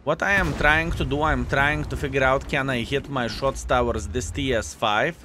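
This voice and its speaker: A man's voice: medium pitch, fairly even intonation, moderate pace, bright, forceful tone, slight background noise. A man with a Lithuanian accent, hosting a video and chatting casually through a game, often calling his viewers "fellas". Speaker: gaming video host. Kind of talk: casual gameplay commentary.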